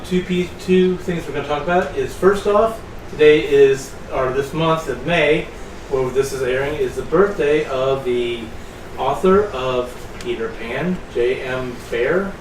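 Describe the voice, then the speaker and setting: A man's voice: a little high, unhurried, distant mic. A man hosting a casual panel podcast, talking loosely and stumbling over names. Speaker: podcast host. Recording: roomy with faint hiss.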